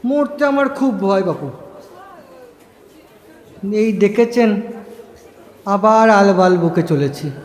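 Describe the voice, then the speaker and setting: Man acting as unhinged, high-voiced rambling storyteller. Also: darkish tone. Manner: rambling, halting, self-interrupting, addressing listeners directly. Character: unhinged, rambling middle-class male narrator gleefully plotting murder. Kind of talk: dramatic first-person audio story monologue. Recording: echoing reverb over music bed.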